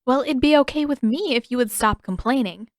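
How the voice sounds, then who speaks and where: Prickly teenage girl, woman reader acting, higher-pitched, sarcastic, defiant, teasing, dry storybook recording. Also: a little fast, no room sound, lightly acted. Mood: irritated retort. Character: stubborn teenage girl, bickering, prickly younger sister.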